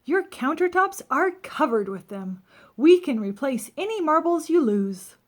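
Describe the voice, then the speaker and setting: A woman's voice: high voice, big pitch swings, measured pace. A woman voicing a cheerful, earnest, literal-minded housekeeper and babysitter from a children's storybook.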